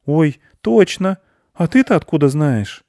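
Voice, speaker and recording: A man's voice: on the high side, sing-song, moderate pace, warm tone, dry recording, studio quality, pitched above the performer's own voice. A man voicing an earnest, inquisitive, kind little boy in a storybook, with a questioning manner. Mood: curious, lively.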